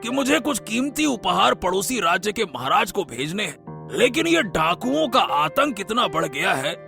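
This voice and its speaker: A man playing an anxious, kindly king in an animated folk tale, speaking in a drawn-out, theatrical way. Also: high voice, vocal fry, faint music behind, exaggerated voice. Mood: worried. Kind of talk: animated Hindi moral-story voice acting.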